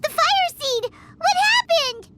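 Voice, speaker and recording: Squeaky, very high-pitched fairy sidekick. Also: female voice, very animated, takes it slowly, exaggerated voice. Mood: alarmed, fretful. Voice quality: squeaky, childlike. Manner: refers to self by name, exclaiming, whiny. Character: tiny excitable fairy companion, childlike, fretful, third-person talker. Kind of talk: animated video game voice acting.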